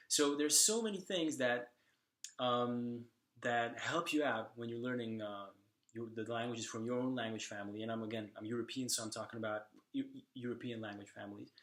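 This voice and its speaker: A man's voice: mid-range voice, fairly bright tone. Man vlogging, echoey room. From Slovakia. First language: Slovak. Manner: hesitant, many fillers and restarts. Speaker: language vlogger. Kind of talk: casual talking-head vlog.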